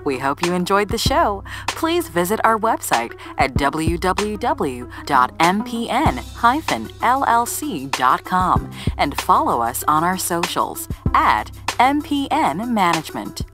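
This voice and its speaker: A woman's voice: lowish voice, big pitch swings, unhurried, hardly any pauses. A woman reading a scripted, polished podcast outro. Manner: polished and promotional. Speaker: show outro announcer. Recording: clear music bed.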